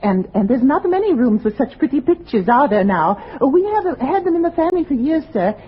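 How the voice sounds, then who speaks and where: A woman's voice: sits high, big pitch swings, a little fast, breathy edge, heavily acted. An actress playing an eager, deferential landlady, nervous and anxious to please, in 1940s radio drama style. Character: eager, deferential, flustered working-class landlady wife. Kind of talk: 1940s radio suspense acting. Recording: muffled, noisy 1940s radio recording.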